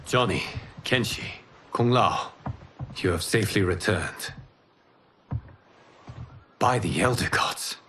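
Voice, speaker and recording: A deep-voiced, wise guardian mentor, slow, formal and solemn. It is video game dialogue with faint noise behind. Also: male voice, animated, a bit bright. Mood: calm, solemn. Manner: measured, formal. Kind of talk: video game cutscene acting.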